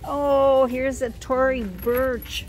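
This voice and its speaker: A woman's voice: sits high, fairly even intonation, low-quality recording. A woman vlogging as she shops in a retail outlet, musing aloud and reading off prices as she browses, filmed on a handheld phone. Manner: slow, musing price read-outs while browsing. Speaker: shopping vlogger. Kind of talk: casual shop-with-me narration. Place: retail outlet store, indoors. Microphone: handheld phone camera. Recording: store music behind.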